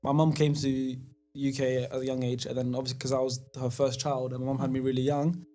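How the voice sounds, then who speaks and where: A man's voice: medium pitch, little pitch movement, fairly quick, mellow, faint music behind. A man, a podcast guest talking casually and restarting his sentences often. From England. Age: 20s. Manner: rambling, hesitant with many restarts. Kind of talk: casual chat.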